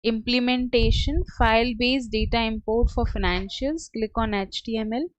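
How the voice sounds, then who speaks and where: A woman working as a software trainer, giving a step-by-step screen tutorial in a hesitant, halting way. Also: fairly high pitch, fairly even intonation. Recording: dull lo-fi close dry audio.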